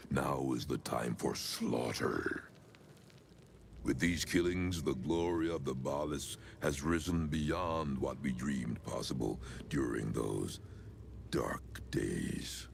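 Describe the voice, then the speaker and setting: An older man playing an ancient, sinister cult leader in a video game, with a very deep gravelly voice and a solemn, measured, archaic delivery. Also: noisy background, heavily acted. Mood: brooding, then grim pride. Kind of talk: dramatic video game monologue.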